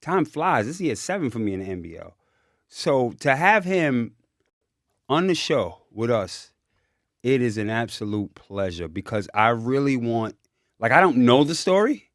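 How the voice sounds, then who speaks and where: A man's voice: medium pitch, very animated, moderate pace, no echo, studio quality. A man hosting a podcast in casual chat, emphatic and enthusiastic, repeating phrases for emphasis. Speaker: podcast host.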